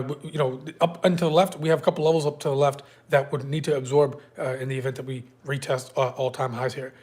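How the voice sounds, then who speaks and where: A man's voice: on the high side, darkish tone. Man with a stuffy cold, hosting. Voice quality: slightly congested. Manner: loose, filler-heavy, chats with viewers by name. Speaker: day trading livestream host. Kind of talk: casual live market prep walkthrough.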